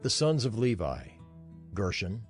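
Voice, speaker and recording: A man reading scripture from a script in a deliberate, proclaiming manner. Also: fairly low pitch, big pitch swings. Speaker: narrator. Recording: plucked guitar music behind.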